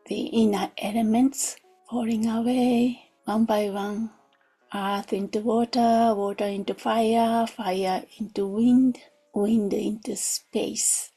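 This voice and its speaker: Breathy, very slow woman guiding meditation. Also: a little high, fairly even intonation, low-quality recording. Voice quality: soft, breathy, often near a whisper. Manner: gentle, unhurried, hushed with long pauses. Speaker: meditation teacher. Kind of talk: guided meditation. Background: music.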